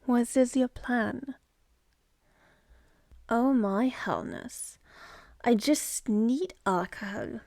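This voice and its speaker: A woman's voice: fairly high pitch, extremely slow, long pauses, a little mellow, no room sound. A woman voicing a sardonic, seductive demon in an ASMR roleplay, mocking and contemptuous, speaking close to the mic.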